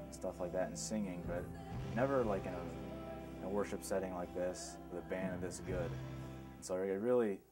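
Young man, deep, casual and upbeat, music bed. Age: young adult. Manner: enthusiastic, casual. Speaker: student. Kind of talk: documentary interview.

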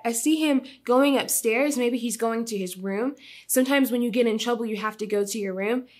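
High-pitched young woman reading expressively to children. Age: young adult. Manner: expressive, teacherly, prompting predictions. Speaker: storytime host and teacher. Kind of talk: children's read-aloud with lesson commentary.

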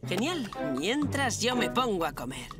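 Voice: medium pitch, very animated, a little slow. An actor voicing a whiny, hungry, scheming young boy in a cartoon dub, pleading and sly. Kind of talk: animated children's cartoon dub. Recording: loud music behind the voice.

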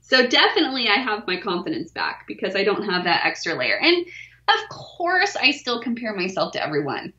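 A woman's voice: medium pitch, sing-song, fairly quick, bright, forceful tone, echoey room, lo-fi sound. A forty-year-old woman, a weightlifter, talking openly and self-deprecatingly as a podcast guest, laughing easily. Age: 40s. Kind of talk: candid podcast interview.

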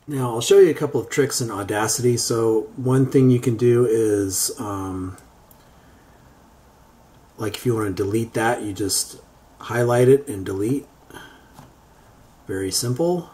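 A man giving an unscripted how-to software demonstration, thinking aloud in a halting way as he works. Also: mid-range voice. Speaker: tutorial presenter. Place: indoors, beside a computer. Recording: homemade recording with background noise.

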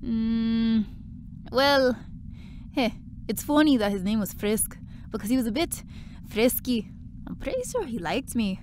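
A woman's voice: mid-range voice, a bit bright, slight background noise, close to an everyday voice. A woman playing a breezy, blunt young part-time worker in an audio drama, chatty and offhand.

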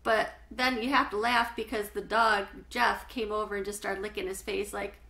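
A middle-aged woman reading a children's book aloud with animated, clearly phrased storytelling. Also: mid-range voice, normal speed. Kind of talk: expressive children's book read-aloud narration. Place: small home living room, indoors.

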